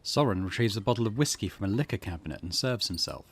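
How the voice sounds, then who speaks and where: A man hosting a let's play, reading game narration aloud with casual asides in a husky voice. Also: lowish voice, expressive, quick pace.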